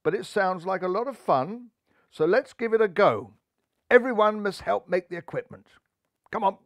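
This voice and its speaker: A man's voice: sits high, expressive, moderate pace, dry recording, studio quality, voice pushed up. A man voicing a kindly, wise old male rabbit who encourages the young ones in a storybook. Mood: amused encouragement.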